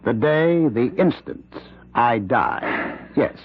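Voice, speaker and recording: Condemned professor who says he's possessed by a killer, halting n intense, husky, old radio drama, dull sound. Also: medium pitch, expressive, no room sound, full character voice. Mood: pleading. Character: condemned male professor claiming a killer spirit possesses him. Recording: dull, band-limited radio drama recording.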